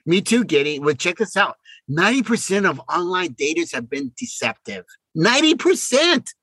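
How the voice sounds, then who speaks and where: A man's voice: sits high, very animated, moderate pace, sharp tone, husky, dry recording. A man co-hosting a podcast in casual banter, playful and joking. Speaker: podcast co-host.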